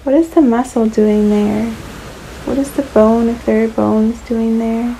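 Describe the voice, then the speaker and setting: A woman leading a guided relaxation meditation in a soothing, unhurried manner, leaving long pauses between short phrases. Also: fairly high pitch, steady tone, mellow, a little hiss or hum, low-quality recording.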